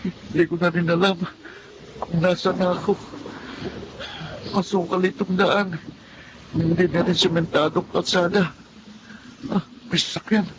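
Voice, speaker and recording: A man's voice: high-pitched, little pitch movement, a little slow, pauses often, background noise. An actor playing a poor, hungry, mistreated boy in a radio drama, plaintive and timid. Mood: anxious. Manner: plaintive, timid, talking to himself. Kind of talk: dramatic first-person radio storytelling.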